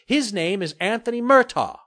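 A man's voice: high voice, animated, moderate pace, a bit bright, voice pushed up. A man voicing a cheerful, sly female TV reporter in an audiobook story, with a pointed manner. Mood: reporting.

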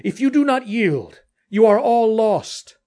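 Man acting a high, shouting mutineer sailor. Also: expressive, slow pace. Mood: urgent warning. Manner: shouting urgently, hoarse warning calls. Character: parleying mutineer sailor hollering across darkness to his mates.

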